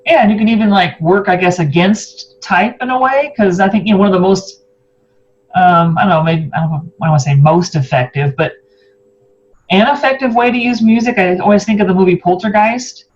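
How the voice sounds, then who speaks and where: Muffled, high-voiced man interviewing casually. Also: brisk. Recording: muffled, noisy, humming connection.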